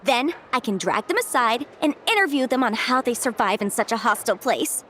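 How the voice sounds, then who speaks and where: A woman's voice: a little high, animated, fairly bright tone, slight background noise. A woman voicing a chatty young female legal consultant with adeptal blood and small horns, in video game dialogue. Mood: confident. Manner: brisk, chatty, flustered when dodging questions. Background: game music.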